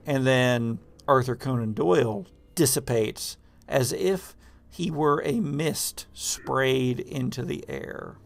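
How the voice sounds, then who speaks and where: A man improvising narration as game master of a tabletop game, telling it haltingly and dramatically with drawn-out pauses. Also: mid-range voice. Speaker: game master of a tabletop role-playing show.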